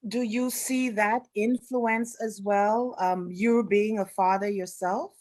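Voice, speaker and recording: A woman's voice: mid-range voice, fairly even intonation, takes it slowly, a little mellow. A middle-aged woman hosting a community radio interview, asking curious questions with a mother's asides. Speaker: radio host.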